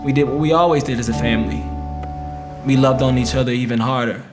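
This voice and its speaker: An actor performs a man looking back on a painful childhood in a dramatic memoir reading. His voice sits at a medium pitch and is expressive, with deliberate pauses that give the phrases weight. Music plays behind him. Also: close to an everyday voice. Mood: reflective, sombre. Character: adult man recounting a painful childhood memory, wounded, reflective. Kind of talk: filmed dramatic monologue reading, first-person memoir.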